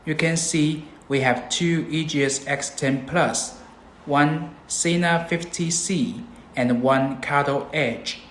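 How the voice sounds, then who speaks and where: A man works through a scripted tutorial as a product demonstrator, speaking slowly and step by step. His voice sits at a medium pitch, with noticeable room echo and a faint layer of noise behind it. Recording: roomy echo, background noise.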